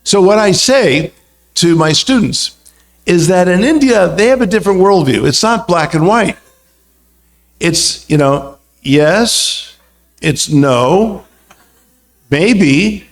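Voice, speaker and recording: An American man speaking as a religious teacher, telling anecdotes in an informal, conversational talk full of asides. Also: high-pitched. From United States. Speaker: guest speaker, religious teacher and university lecturer.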